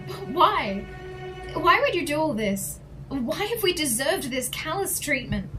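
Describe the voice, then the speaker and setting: A woman plays an earnest, defiant heroine of an audio drama. Her voice is high and dramatic, with a pleading edge. Music runs clearly underneath. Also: very animated, unhurried.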